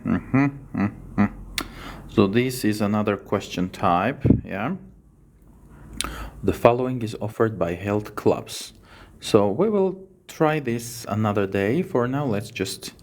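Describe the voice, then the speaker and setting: A man with an Armenian accent, a native Armenian speaker, teaching English as he walks through a test on screen. He talks in an unhurried, hesitant way and keeps checking in with "yes?". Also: lowish voice, animated, a little mellow, a little hiss or hum. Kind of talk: screen-share lesson walkthrough.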